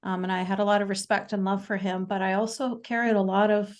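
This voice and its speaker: A Canadian woman in her forties, a guest speaking in a casual interview, talking haltingly with many fillers and restarts. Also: mid-range voice, flat delivery. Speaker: podcast guest.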